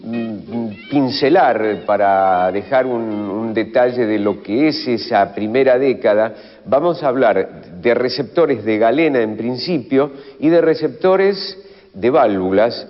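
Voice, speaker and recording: Halting Argentine man in a reverberant room. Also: mid-range voice, soft background music. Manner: halting, self-correcting. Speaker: radio history expert interviewee. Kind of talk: unscripted explanatory interview. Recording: dull, long reverberant room sound.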